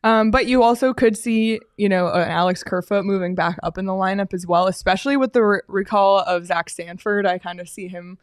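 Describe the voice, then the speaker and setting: A woman hosting a hockey talk show, guiding the conversation in a relaxed, casual way with frequent fillers. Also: medium pitch, brisk, few pauses, dry recording, studio quality. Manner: steady, uses fillers, leads the segment. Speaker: sports show host.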